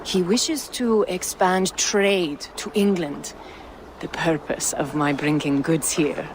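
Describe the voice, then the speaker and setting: A woman's voice: on the low side, steady tone, takes it slowly, slight background noise, low-quality recording. An actress playing a shrewd, courteous trader woman from the east in a video game, with formal, wry, playful phrasing. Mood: courteous, businesslike. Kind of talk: video game cutscene acting. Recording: music under the voice.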